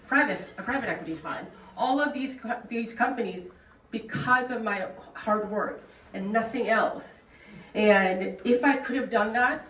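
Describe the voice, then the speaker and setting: A woman's voice: a little high, fairly bright tone. A middle-aged woman from South Korea with a Korean accent, giving a plain-spoken, earnest speech as a guest speaker. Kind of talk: speech at a club meeting. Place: meeting room. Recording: echoey room, distant mic, faint noise.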